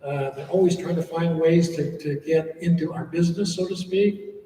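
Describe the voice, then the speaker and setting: A man's voice: fairly high pitch, steady tone, moderate pace, warm tone, slight background noise. An older man, a monk, giving an informal talk to an audience and telling stories with humorous asides. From United States. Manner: chatty, storytelling with humorous asides. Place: church hall. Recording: echoey hall, voice from far off.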